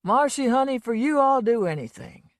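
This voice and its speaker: Woman doing a drunken braggart, loud, slurred, swaggering, swooping pitch, dry close sound. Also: animated, moderate pace, no room sound, natural voice. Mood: maudlin boasting. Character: drunken blustering man, a boastful folksy entertainer.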